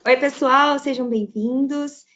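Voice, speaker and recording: A woman's voice: high-pitched, fairly even intonation, slow, fairly bright tone, slight background noise. A woman who works in human resources, giving a friendly, welcoming introduction on an online panel. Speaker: HR professional, panelist. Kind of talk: self-introduction on a web panel. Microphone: video call. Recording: muffled video call audio.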